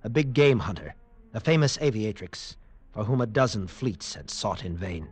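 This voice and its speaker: Man playing haunted military survivor narrating a radio adventure, low, intense and defiant, faint score underneath. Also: expressive, soft background music, close to an everyday voice. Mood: grave, haunted. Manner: intense, grave, dramatic pauses. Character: haunted, defiant escaped captive lieutenant telling his ordeal. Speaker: narrator and protagonist. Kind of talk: dramatic first-person radio narration, 1940s-50s.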